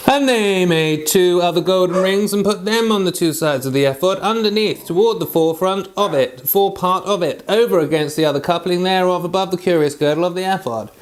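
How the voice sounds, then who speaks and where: A man with a notably high-pitched voice reads Bible passages aloud. His delivery is that of an amateur reader, somewhat halting as he works through the formal old text. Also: slight background noise. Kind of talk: scripture reading aloud.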